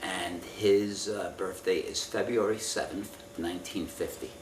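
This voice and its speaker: A man in his fifties answering an oral history interview from memory, speaking in a halting, careful, measured way. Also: low voice, fairly even intonation. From Israel. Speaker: interviewee giving family testimony. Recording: roomy, distant mic with faint noise.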